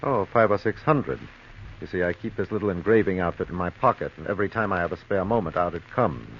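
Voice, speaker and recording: Older American blackmailer, low voice, suave, sly, confident, radio crime drama, muffled old recording. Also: male voice. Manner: suave, sly, unhurried explaining. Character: smooth-talking scheming male blackmailer, near sixty, self-assured. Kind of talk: 1940s radio drama acting. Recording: dull, hissy vintage radio recording.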